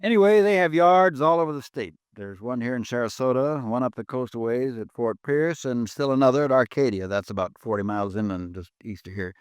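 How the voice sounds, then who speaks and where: An actor playing an easygoing, joking insurance branch manager in 1950s radio drama style. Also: male voice, medium pitch, a little fast, few pauses, clean tone, no echo, clean studio sound, close to an everyday voice. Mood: breezy. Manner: breezy and joking.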